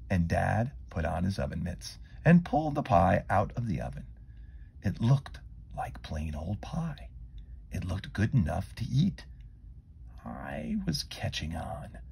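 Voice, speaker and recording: A man narrates a children's picture book in a low voice with a very breathy, husky edge. His delivery is animated and theatrical, leaning on key words and easing into hushed asides. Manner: expressive, dramatic emphasis with hushed asides. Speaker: storybook reader.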